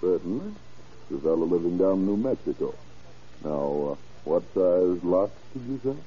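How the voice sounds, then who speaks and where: An actor playing a shrewd, smooth-talking crime boss in 1940s radio drama, with a deep husky voice and a sly, mock-genial manner. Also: male voice, big pitch swings, moderate pace, warm tone, heavily acted. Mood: genial, cautious. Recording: muffled old radio recording.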